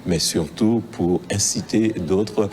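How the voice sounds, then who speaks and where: A local official gives a formal statement to the press, stressing his points and repeating phrases. His voice is very deep. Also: slow, crowd noise.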